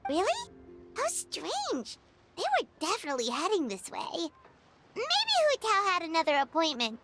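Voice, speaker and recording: A woman voicing a small, chirpy, excitable childlike fairy companion in a video game, with squeaky, expressive delivery. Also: moderate pace, heavily acted. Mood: eager. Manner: exaggerated, expressive reactions. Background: game music.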